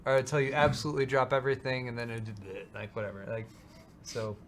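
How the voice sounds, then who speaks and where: A man with a mid-pitched voice co-hosts a casual livestream, chatting with dry, tongue-in-cheek humor. There is faint ambient noise behind him. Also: flat delivery, fast, a bit bright. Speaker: co-host of a live tech show. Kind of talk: casual banter and tech commentary.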